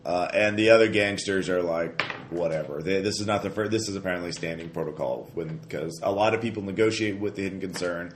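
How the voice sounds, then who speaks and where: A deep-voiced man with a stuffy head cold runs a tabletop game, rambling through fillers and restarts. His voice sounds slightly hoarse. The recording is roomy, with a faint hiss underneath. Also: a little fast, hardly any pauses. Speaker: game master. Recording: roomy echo, faint noise.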